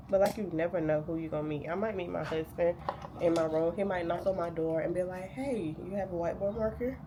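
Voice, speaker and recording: A woman's voice: lowish voice, fairly quick, noisy background. A young woman vlogging casually while getting ready to study, sounding nasal from a cold, chatty and rambling with lots of fillers. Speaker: vlogger and graduate student. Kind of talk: casual day-in-the-life vlog chat.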